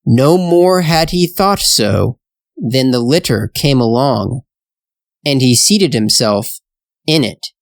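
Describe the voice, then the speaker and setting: Storytelling narrator, very slow pace, deliberate phrasing, medium pitch, learner-friendly scripted reading. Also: lively intonation, no echo, clean studio sound. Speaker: storyteller, English teacher.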